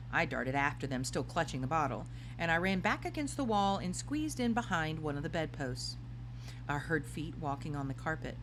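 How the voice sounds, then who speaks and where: A woman reading a children's storybook aloud in an expressive narrating style. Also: deep voice, background noise. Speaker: storybook reader.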